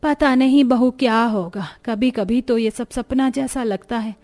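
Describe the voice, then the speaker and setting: A woman's voice: a little high, unhurried, a little mellow, lightly acted. A woman voicing an aggrieved elderly mother-in-law in a cartoon story, lamenting and reproachful. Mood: sorrowful.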